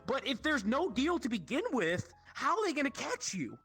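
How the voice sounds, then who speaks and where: Bright-voiced young man swearing through a story. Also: extremely high pitch, normal speed, slightly rough, soft background music. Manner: sweary, animated slangy storytelling. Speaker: show host telling stories. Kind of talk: casual rambling storytelling with friends.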